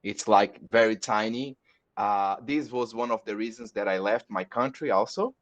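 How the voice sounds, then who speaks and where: A man from Brazil speaking English with a Brazilian accent, a metal musician chatting on a podcast, halting and repeating words as he searches for them. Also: fairly low pitch. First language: Portuguese. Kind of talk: casual podcast chat. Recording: dull lo-fi audio.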